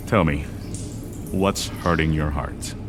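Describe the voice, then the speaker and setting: A man playing a gentle older dad. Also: very deep voice, slow pace, noisy background. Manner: gentle, fatherly, mixing Korean words into English. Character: gentle older Korean father, soothing. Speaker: father. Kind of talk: audio drama acting.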